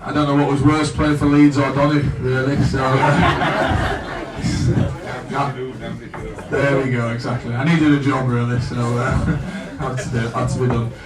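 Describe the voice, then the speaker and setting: A man, a coach at a fan evening, speaking haltingly, repeating words and laughing at himself. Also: mid-range voice, level tone, a loud crowd. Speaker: assistant coach. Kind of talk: casual speech at a fan evening. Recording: echoey room, far from the mic, noise behind.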